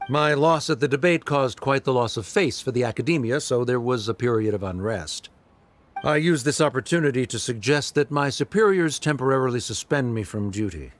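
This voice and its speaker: A man's voice: mid-range voice, lively intonation, a little fast, close to an everyday voice. A man playing a stern, middle-aged scholar father in a game, speaking formally and with restraint. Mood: reserved.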